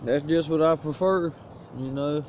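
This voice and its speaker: A laid-back man talks easily about his gear in a folksy drawl. His voice is mellow and dark but sits fairly high. The sound is dull lo-fi phone audio from outdoors in the woods, with a faint hiss underneath. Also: a little slow. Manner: laid-back rural drawl, folksy, coughs now and then. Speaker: outdoors vlogger. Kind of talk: casual show-and-tell vlog. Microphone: cell phone propped on a stick.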